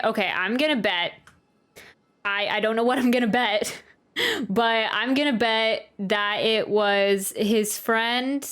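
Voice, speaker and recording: A woman's voice: medium pitch, fairly bright tone, clear, resonant tone. A woman giving casual live game commentary, musing and thinking aloud. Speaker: game reaction streamer. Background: game music.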